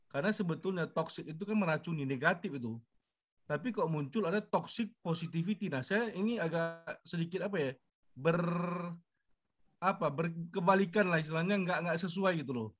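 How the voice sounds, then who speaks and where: A man casually putting a question as a participant, searching for his words as he goes. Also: on the high side. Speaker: audience participant asking a question. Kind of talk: casual spoken question and comment.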